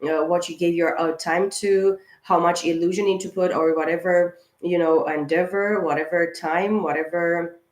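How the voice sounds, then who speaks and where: Low-voiced woman reading tarot in an echoey room. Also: steady tone, moderate pace, hardly any pauses, darkish tone. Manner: rambling, with many fillers and restarts. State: slightly hoarse, clearing her throat. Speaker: tarot reader. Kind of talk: casual spiritual tarot reading to camera.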